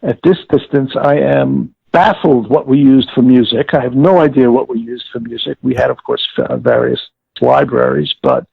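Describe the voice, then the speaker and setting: An older man with a heavily creaky, husky voice gives an interview over a narrow, phone-like connection. He explains things deliberately, stopping to correct himself in rather formal wording. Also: medium pitch, big pitch swings. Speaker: interviewee, former audio producer-director. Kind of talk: reminiscing interview, explaining a process. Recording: tinny phone-like line with room echo.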